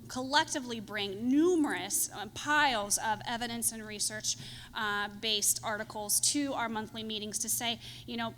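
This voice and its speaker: A woman's voice: a little high, a bit bright. A woman panelist giving earnest remarks with many fillers at a conference, mic in hand. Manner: earnest, filler-heavy presenting. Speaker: hospital panelist. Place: conference hall. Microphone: handheld microphone. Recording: echoey hall, faint noise.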